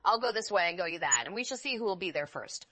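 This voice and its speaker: A woman's voice: medium pitch, lively intonation, quick pace, a bit bright, no echo. A woman voicing a cunning big bad male wolf in a fairy tale reading, speaking slyly and coaxingly. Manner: sly, coaxing.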